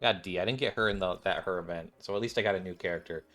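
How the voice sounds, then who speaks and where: A man's voice: low voice, racing, fairly bright tone, faint music behind. A man giving casual, off-the-cuff commentary on a game, rambling and thinking aloud as he plays. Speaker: gaming video creator.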